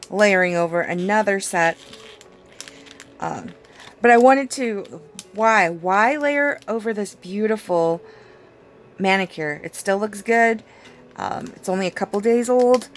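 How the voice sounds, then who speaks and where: A woman vlogger losing her voice, her speech hoarse and raspy as she chats casually through a nail routine with plenty of fillers. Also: mid-range voice, slight background noise. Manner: rambling, chatty, with many fillers and small laughs. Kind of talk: casual chat while doing her nails.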